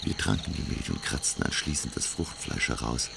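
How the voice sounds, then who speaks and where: A man's voice: very deep voice, creaky, background noise, exaggerated voice. A man playing a refined, reflective professor who narrates his adventure in literary style for a German radio drama. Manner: literary, measured.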